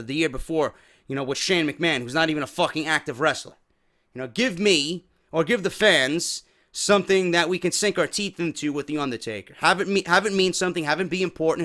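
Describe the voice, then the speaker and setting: A man hosting a wrestling news show, giving blunt, profane opinions and getting loud when worked up. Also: a little high. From New York.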